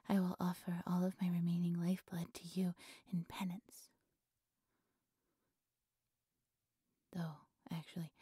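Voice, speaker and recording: A woman voicing a devoted, obsessive high priestess in an audio roleplay, soft and breathy, speaking with formal, fawning reverence to her dark god. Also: dry recording, studio quality. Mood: contrite, reverent. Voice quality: soft, breathy, often whispery.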